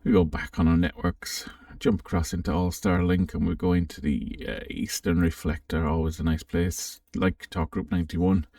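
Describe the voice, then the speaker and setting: A man giving a casual, unscripted software walkthrough, thinking aloud with some hesitation as he presents. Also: low-pitched, little pitch movement, normal speed, hardly any pauses, clean studio sound. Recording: roomy, slightly distant microphone.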